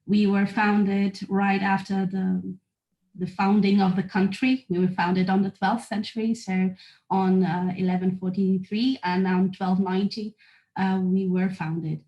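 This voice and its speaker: A woman's voice: medium pitch, flat delivery, dark tone, dull audio. Portuguese-accented woman presenting in an echoey room. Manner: measured, with frequent hesitations. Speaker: university international relations officer presenting. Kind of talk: webinar presentation.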